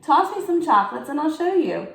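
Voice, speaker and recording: A woman's voice: sits high, very animated, fairly quick, creaky, a bit bright, pitched above the performer's own voice, exaggerated voice. A woman reading a storybook, voicing a playful, fun-loving aunt who is babysitting, with a cheery, teasing manner, in an echoey room.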